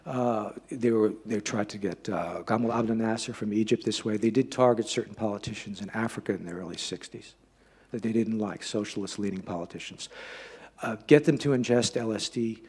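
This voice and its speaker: Husky man giving an informal lecture. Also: lowish voice, darkish tone. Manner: halting, repeats and rephrases himself. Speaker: lecturer giving a talk and taking audience questions.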